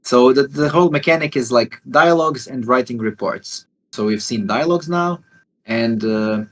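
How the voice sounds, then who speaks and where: A man, a game developer, walking through his game in a livestream interview and talking haltingly with many fillers and restarts. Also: mid-range voice, fairly bright tone, a little hiss or hum. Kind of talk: explaining and demoing a game during a livestream interview.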